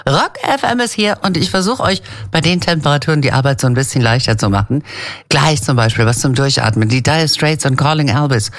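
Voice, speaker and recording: Lively low-voiced woman on radio. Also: fairly quick, no echo, clean studio sound. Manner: playful, self-mocking about slip-ups. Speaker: radio presenter. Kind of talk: casual live radio presenting.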